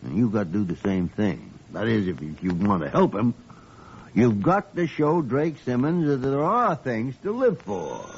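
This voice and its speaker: An actor playing a gruff, fatherly older senior doctor in an old-time radio drama, blunt and given to stammered repetitions. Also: medium pitch, sing-song, moderate pace, a little mellow. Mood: blunt, admonishing. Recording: muffled, hissy vintage radio recording.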